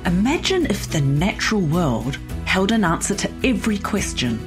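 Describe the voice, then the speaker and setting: A woman hosting a podcast, hoarse from losing her voice, explaining things in a lively, chatty way. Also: medium pitch, normal speed, music in the background. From New Zealand. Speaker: podcast host. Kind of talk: solo podcast chat.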